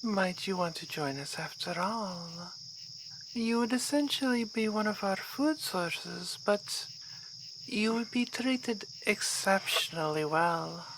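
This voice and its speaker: A woman's voice: medium pitch, expressive, slow. A woman playing an aristocratic vampire baroness in an ASMR roleplay, speaking in a formal, refined, seductive and composed way. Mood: enticing. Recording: clear night insect noise behind voice.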